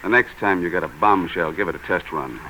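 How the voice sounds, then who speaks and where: A low, forceful surly American gambling boss from 1940s radio noir. He is curt and menacing, on an old recording with faint noise. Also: brisk, low-quality recording. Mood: needling, threatening. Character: tough, surly male gambling club boss.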